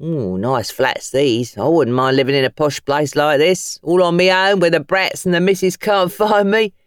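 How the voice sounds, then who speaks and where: A man's voice: fairly high pitch, moderate pace, clear, resonant tone, dry recording, clean studio sound, natural voice. A woman voicing a chatty, cheeky male London cab driver in an audiobook, with colloquial, familiar talk. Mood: admiring, joking.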